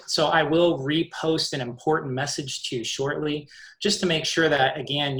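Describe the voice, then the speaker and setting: A woman with a low voice speaks over a video call, wrapping up a club meeting. She talks in a measured, announcing way, and the room around her is echoey. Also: fairly bright tone. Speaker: meeting officer, vice president of membership. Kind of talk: hosting a virtual club meeting.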